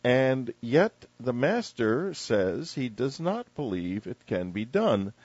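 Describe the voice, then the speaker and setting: A man interviewing in a measured, literary way, deliberate and breaking his phrases with pauses. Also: mid-range voice, big pitch swings, darkish tone, husky, no echo, lo-fi sound. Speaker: radio interviewer.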